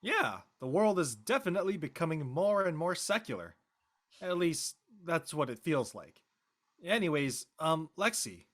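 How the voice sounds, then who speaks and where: A man playing a blunt, skeptical and slightly awkward high school boy in a script reading. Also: very animated, normal speed. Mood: awkward, casual. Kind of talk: casual live script reading.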